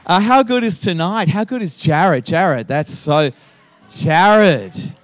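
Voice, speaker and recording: A man's voice: sits high, lively intonation, takes it slowly, no echo, faint music behind. A middle-aged man with an Australian accent, a guest pastor opening his sermon with playful teasing and frequent laughter.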